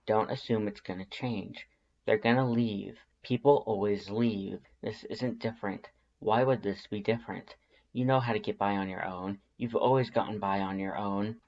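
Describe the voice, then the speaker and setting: A narrator reading fan fiction aloud in an expressive, scripted storytelling style. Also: sits low, normal speed, dull audio.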